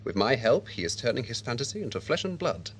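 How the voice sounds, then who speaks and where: A man's voice: mid-range voice, big pitch swings, quick pace, a little hiss or hum, lightly acted. A man playing a suave, gloating villain in an audio drama, pompous and mock-courteous. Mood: smug.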